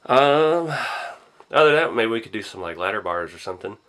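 A man, a little hoarse from a cold, rambling casually through a model build in his garage workshop with lots of ums. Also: lowish voice, lively intonation, fairly quick, a bit bright. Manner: laid-back, rambling, lots of ums and I don't knows. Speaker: RC hobby channel host.

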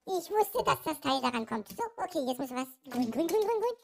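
A squeaky little plush puppy, put on by a man, bouncing high and low with a gravelly rasp. It is eager and playful, and it teases its owner. The voice jumps all over the place like a toy come to life. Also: brisk, slight background noise, full character voice. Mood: excited. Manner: excitable, teasing. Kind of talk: toy puppet play during an unboxing video.

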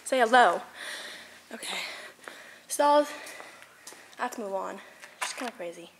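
High-voiced woman vlogging, unhurried, noisy recording. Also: lively intonation. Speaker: vlogger giving a barn tour. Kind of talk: casual handheld vlog chat. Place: horse barn and its grounds. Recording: noisy handheld camera recording.

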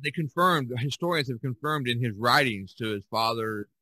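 A man in a casual podcast discussion about art, telling stories in a halting, repetitive way. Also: mid-range voice, animated, sharp tone, no echo, poor audio. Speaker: podcast co-host.

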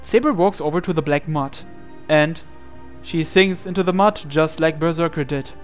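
A man with a fairly high voice reads a visual novel aloud over music. His delivery is earnest and theatrical, telling the story in the first person with dramatic intensity. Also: moderate pace. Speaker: let's-play reader of a visual novel.

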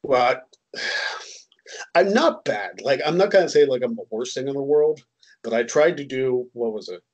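A man's voice: mid-range voice, lively intonation, moderate pace, darkish tone, muffled recording. A man in his thirties co-hosting a casual chat on a headset, rambling and self-deprecating with many ums. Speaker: co-host. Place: home room, indoors.

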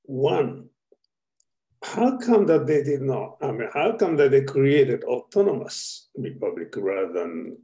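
A man in a seminar on a video call who asks long questions in a halting way, with many restarts and fillers. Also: medium pitch, very animated, warm tone. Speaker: audience member asking questions.

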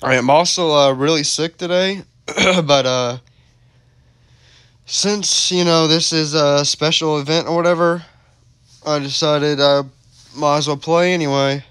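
A hoarse man with allergies casually commenting as he plays a tower defense game, rambling and easily flustered. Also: fairly high pitch, fairly even intonation, unhurried, no room sound. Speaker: gaming video host. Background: loud game music.

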